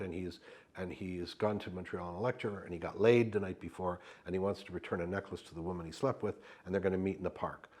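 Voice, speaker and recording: A man whose first language is Yiddish recounts a story in an interview, his deep voice with a husky grain. He tells it with animation and slips into the dialogue he quotes. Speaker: actor interviewee. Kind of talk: anecdotal storytelling in an oral history interview.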